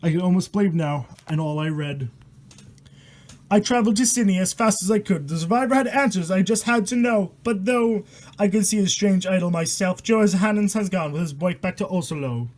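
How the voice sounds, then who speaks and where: A man's voice: sits high, moderate pace, darkish tone. A man reading a rhyming horror story aloud in a dramatic, irreverent way, stumbling over words and swearing in casual asides. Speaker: amateur storyteller and host.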